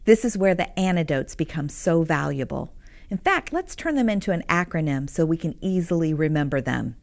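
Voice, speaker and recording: A woman author calmly teaching parents in a scripted, earnest and encouraging narration. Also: sits low, a little fast, creaky. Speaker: author and curriculum host.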